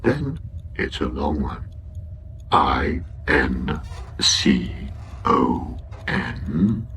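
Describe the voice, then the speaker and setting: A man's voice: very animated, drawn-out words, creaky, fairly bright tone, slight background noise, full character voice. A man playing an ancient, worn-out robot in a radio comedy drama, weary, doleful and sardonic, full of gloom and self-pity. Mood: slow, failing. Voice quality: low, weary drone.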